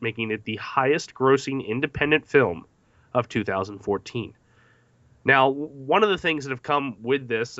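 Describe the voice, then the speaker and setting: A man's voice: medium pitch, fairly bright tone, dry recording, muffled recording. A man hosting a podcast movie discussion, reading out facts from notes between questions to the panel. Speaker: podcast host.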